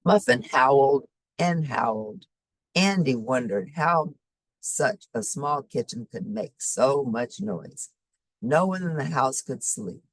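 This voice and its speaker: Woman reading a kids story, deep for a lady, super expressive, dry close sound. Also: slow pace, no room sound, low-quality recording. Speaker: storybook reader. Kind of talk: expressive children's story narration.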